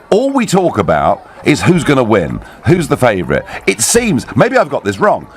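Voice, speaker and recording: A man's voice: mid-range voice, slight background noise. A middle-aged man hosting a live radio phone-in, opinionated and emphatic. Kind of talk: live radio talk show.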